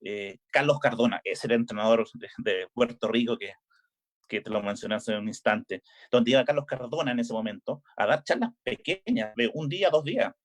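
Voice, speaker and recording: A man's voice: medium pitch, moderate pace. Man, Chilean accent, middle-aged, casual interview talk, hesitant, lots of fillers. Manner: hesitant, many filler "eh"s and restarts. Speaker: volleyball coach. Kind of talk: relaxed interview chat.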